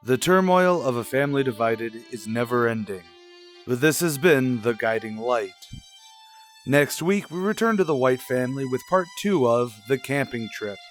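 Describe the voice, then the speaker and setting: A man's voice: medium pitch, expressive, moderate pace, soft background music. A man reading scripted announcements in the style of a radio drama announcer.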